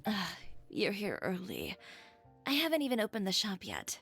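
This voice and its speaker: An actress playing a blunt, self-assured young woman who designs fashion, in a brisk, matter-of-fact manner for a video game. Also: mid-range voice, wildly swooping pitch, creaky, fairly bright tone, husky, faint music behind, heavily acted. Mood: dry, composed. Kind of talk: scripted video game voice acting.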